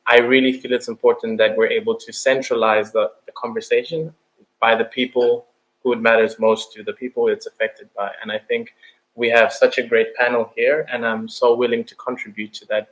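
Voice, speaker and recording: Evenly pitched man from Uganda. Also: level tone, normal speed, fairly bright tone, rough recording. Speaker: panelist. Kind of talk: earnest panel discussion.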